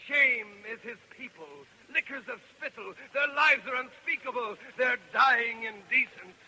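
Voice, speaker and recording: An actor playing an exhausted messenger who cries a dire warning in declamatory, rhythmic radio verse. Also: male voice, extremely high pitch, measured pace, full character voice. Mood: urgent, dread. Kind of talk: 1930s radio verse drama acting. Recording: bright, noisy old radio recording.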